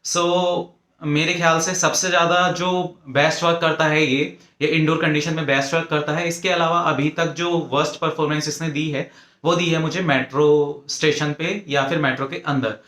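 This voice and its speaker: A man's voice: on the high side, fairly even intonation, normal speed, a bit bright. A man with an Indian accent giving an informal, methodical product review, explaining point by point and mixing in English phrases. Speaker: tech reviewer presenting an earphone review. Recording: echoey, roomy sound.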